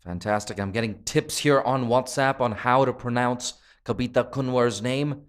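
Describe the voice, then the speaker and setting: A man's voice: medium pitch, moderate pace, clean tone. Male live cricket commentator with a tiring voice. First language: not Nepalese. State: voice tiring, losing his voice. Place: commentary box at a cricket ground.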